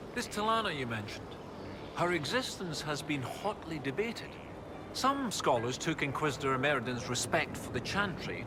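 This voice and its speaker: Excitable male scholar played by a male actor, highish voice, very lively, game role over loud outdoor ambience. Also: very noisy, lightly acted. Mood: earnest. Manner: scholarly, animated, precise wording. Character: excitable, bookish male scholar and historian, eager about discoveries. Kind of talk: video game dialogue acting.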